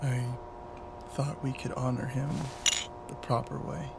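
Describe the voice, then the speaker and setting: A man playing a sentimental, grieving detective who is quietly self-deprecating, in an audio drama. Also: mid-range voice, little pitch movement, unhurried, vocal fry, very noisy. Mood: reverent, mournful.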